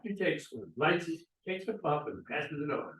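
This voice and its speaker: Male narrator, scripted stage directions, slightly high pitch, roomy distant mic. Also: big pitch swings, moderate pace, a bit bright. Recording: roomy, echoey, distant microphone.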